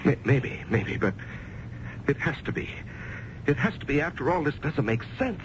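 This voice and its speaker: A shrewd financier in an old radio play, torn between doubt and defiance. His delivery swings up and down expressively, with theatrical hesitations. The sound is dull, with clear noise behind him. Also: a little high, sing-song, normal speed. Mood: wavering, bewildered. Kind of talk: old-time radio drama acting. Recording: band-limited, noisy old radio recording.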